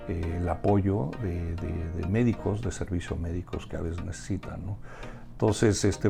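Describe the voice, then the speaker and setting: A man with a Mexican accent answering in a conversational interview, haltingly repeating words as he searches for his phrasing. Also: sits low, creaky. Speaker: interview guest, restaurateur and community organization member.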